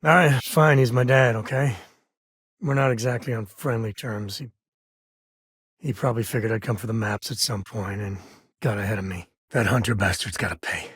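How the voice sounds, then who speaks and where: Husky, rugged space explorer. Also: male voice, fairly low pitch, steady tone, moderate pace, fairly bright tone, no room sound, clean studio sound. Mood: bitter. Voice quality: husky, gravelly rasp. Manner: folksy, colloquial, earnest delivery. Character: rugged, good-hearted male space explorer and devoted father. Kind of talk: video game voice acting.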